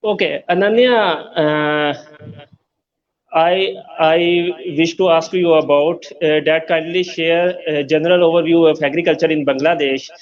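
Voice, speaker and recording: A man hosting an interview, speaking formally and haltingly with many fillers. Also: fairly high pitch, steady tone, dull audio. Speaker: host.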